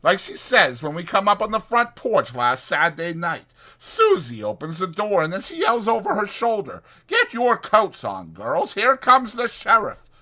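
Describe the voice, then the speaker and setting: A gossipy young ranch hand as performed by a male reader, pitched high and swooping up and down. He talks in folksy, ungrammatical slang, lively and eager to share, in a dramatized storybook style with a bright, forceful edge. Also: normal speed, few pauses, heavily acted. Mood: eager, boastful.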